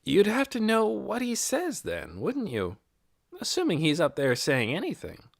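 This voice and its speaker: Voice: mid-range voice, wildly swooping pitch, a little slow, gravelly, pitched above the performer's own voice, exaggerated voice. A narrator voicing a weary, practical adult woman with a dismissive, matter-of-fact manner in an audiobook. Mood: skeptical. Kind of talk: audiobook character dialogue.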